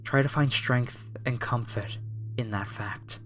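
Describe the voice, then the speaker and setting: A man playing a gently menacing, fervently devout cult zealot in a horror ASMR roleplay. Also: mid-range voice, faint music behind, lightly acted. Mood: eerily soothing. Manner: slow, soothing, solemn, menacingly tender.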